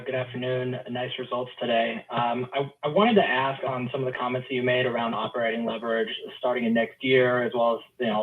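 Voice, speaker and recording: Even-toned man on a tinny phone line. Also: mid-range voice. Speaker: financial analyst caller. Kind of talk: asking questions on an earnings call. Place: phone call into conference.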